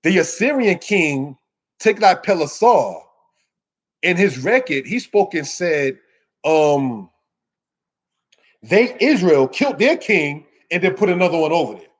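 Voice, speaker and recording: A man with a somewhat high voice gives an informal Bible lesson. He speaks as a teacher to his congregation, exhorting them emphatically and putting rhetorical questions to them. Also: very animated, unhurried, long pauses. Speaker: religious teacher giving a scripture lesson.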